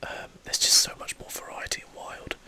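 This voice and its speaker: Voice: moderate pace, bright, forceful tone. Whispered ASMR gaming commentary. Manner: whispering throughout, breathy and unvoiced; casual, thinking aloud. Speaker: gaming video host.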